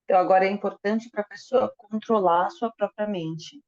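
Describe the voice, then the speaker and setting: A woman interprets a lecture sentence by sentence, filling gaps with hesitations and quick restarts. Her voice is dark and mellow, and the recording sounds somewhat dull and muffled. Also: mid-range voice. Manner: hesitant, with fillers and self-corrections. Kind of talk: consecutive interpreting into Portuguese.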